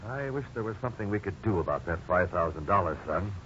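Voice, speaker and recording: An actor playing a troubled, conscientious middle-aged father, gentle and earnest, in a 1950s radio drama. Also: deep voice, lively intonation, quick pace. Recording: dull, hissy 1950s radio recording.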